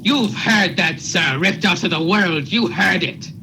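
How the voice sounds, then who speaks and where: A man's voice: high-pitched, measured pace, vocal fry, low-quality recording. A man playing an earnest, officious court officer with a rustic, formal manner in a radio play. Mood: indignant. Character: Cheever, earnest, officious male court officer serving warrants.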